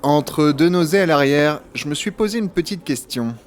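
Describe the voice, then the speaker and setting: A man's voice: fairly high pitch, lively intonation, normal speed, close to an everyday voice. A man playing a sceptical, grumbling travel companion in a comedic audio drama. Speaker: fiction podcast character. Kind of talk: comedic audio fiction dialogue.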